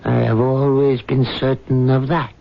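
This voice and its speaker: An actor playing an unruffled, observant witness in a radio drama, speaking in a deliberate, philosophical way. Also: male voice, mid-range voice, flat delivery, creaky. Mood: calm, resigned. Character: unruffled, observant male witness telling the tale. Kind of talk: radio drama narration and dialogue. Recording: dull 1970s radio recording, music behind.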